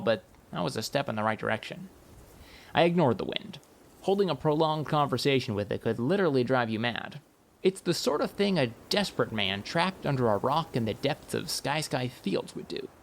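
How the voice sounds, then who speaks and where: A man narrating a first-person comic fantasy audiobook in a wry, expressive storytelling manner. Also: mid-range voice, gravelly.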